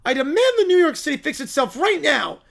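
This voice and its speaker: A man's voice: very high-pitched, very animated, brisk, gravelly, a bit bright, voice pushed up, heavily acted. A man voicing a bossy, spoiled little princess girl, haughty and indignant, in cartoon parody style. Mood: demanding.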